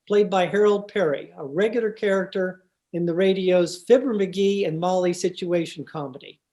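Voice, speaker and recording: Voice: mid-range voice, dark tone. An announcer reading scripted narration in the style of old-time radio.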